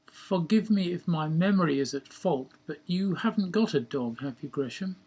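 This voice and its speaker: A man's voice: on the high side, animated, moderate pace, a little mellow, breathy edge. A man voicing a sceptical, pedantic friend in a story, questioning in a dry, wordy, precise way.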